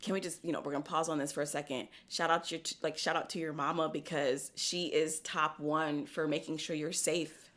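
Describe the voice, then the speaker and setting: A woman hosting a podcast in casual chat, animated and slangy, laughing often. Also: sits low, a little fast. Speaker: podcast host.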